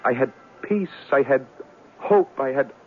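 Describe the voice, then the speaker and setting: An actor playing a fragile, paranoid young artist who swings between babyish pleading and terse muttering, in 1970s radio drama style. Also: male voice, mid-range voice, animated, measured pace, slightly rough, soft background music. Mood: dazed, brooding. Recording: tinny, lightly noisy old radio recording.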